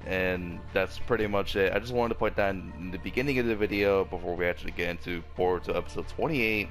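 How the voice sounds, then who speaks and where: A man's voice: low-pitched, quick pace. A man with a sore throat reviewing an anime episode in a casual, rambling way, full of fillers and restarts. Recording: faint background music.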